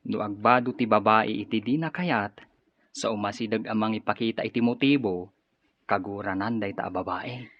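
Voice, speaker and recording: An actor in an Ilocano radio drama playing a gossipy, judgmental office employee with a husky voice. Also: male voice, on the low side, moderate pace, natural voice. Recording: dull lo-fi audio, faint music.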